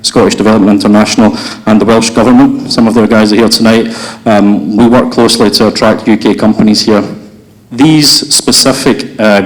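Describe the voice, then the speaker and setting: Low-voiced man giving a candidate speech. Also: rough recording. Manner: earnest, filler-heavy delivery. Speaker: election candidate. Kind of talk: prepared hustings speech. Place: hotel function room.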